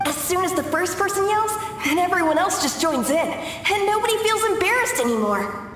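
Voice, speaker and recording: An actress voicing an excited young boy who dreams of cooking endless food, speaking earnestly in a video game flashback. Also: very high voice, moderate pace, recorded from a distance, slight background noise. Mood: eager. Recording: echoey hall, voice from afar.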